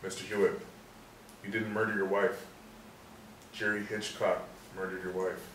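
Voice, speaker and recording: Man acting a steady police sergeant, echoey. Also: on the low side, moderate pace, natural voice. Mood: sceptical, firm. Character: male police sergeant, courteous, steady, sceptical. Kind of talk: stage play reading. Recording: roomy echo, distant mic, faint noise.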